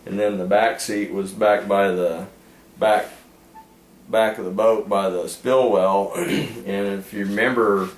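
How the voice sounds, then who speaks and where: Low-voiced rambling fishing storyteller man. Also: fairly even intonation, takes it slowly. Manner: rambling, folksy, leans on "anyway" and "uh". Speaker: fishing guide telling stories. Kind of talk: casual storytelling. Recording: echoey room with faint ambience.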